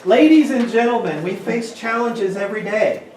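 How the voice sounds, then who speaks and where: A man's voice: high-pitched, moderate pace, a little mellow, pitched above the performer's own voice, lightly acted. A man performing a pompous, grandiose orator in a readers' theater piece. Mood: grand, ominous. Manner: grandiose, declamatory. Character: pompous, theatrical male orator scorning his interrupters. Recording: distant, echoey, noisy room recording.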